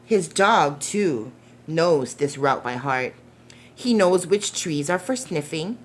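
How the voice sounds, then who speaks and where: A woman reading a picture book aloud to young children in a gentle, deliberate, expressive way, with questions put to the listeners. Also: low-pitched. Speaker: storytime reader for preschoolers.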